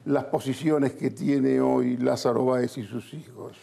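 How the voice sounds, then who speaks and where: A man's voice: medium pitch, fairly even intonation, a little slow, creaky, mellow, husky. A man with an Argentine Rioplatense accent giving blunt, emphatic, colloquial political opinions as an interview guest. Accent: Argentine Rioplatense Spanish.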